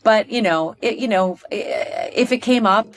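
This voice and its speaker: A middle-aged woman from Pennsylvania, a stage performer chatting as a podcast guest, gushing and animated, with lots of restarts. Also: mid-range voice, measured pace. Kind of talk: casual interview chat.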